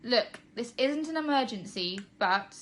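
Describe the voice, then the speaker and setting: A woman's voice: a little high, animated, a little slow, a bit bright, a little hiss or hum, voice pushed up. A woman voicing a sheepish, evasive young boy in a children's story, speaking hesitantly. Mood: pleading. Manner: hesitant, stalling.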